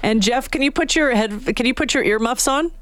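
A woman's voice: fairly high pitch, fairly quick, a bit bright, no echo. Casting: Canadian woman radio host, casual and chatty, close dry studio mic. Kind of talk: casual on-air chat. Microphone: close studio radio microphone.

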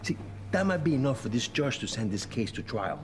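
A man's voice: medium pitch, big pitch swings, a little fast. A man, a defense lawyer, advising a client bluntly in a documentary clip.

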